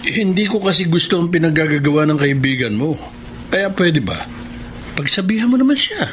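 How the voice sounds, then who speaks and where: A man's voice: a little high, big pitch swings, moderate pace, fairly bright tone. An actor playing a disapproving middle-aged father in a radio drama, stern but asking a favor. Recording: faintly noisy radio drama recording.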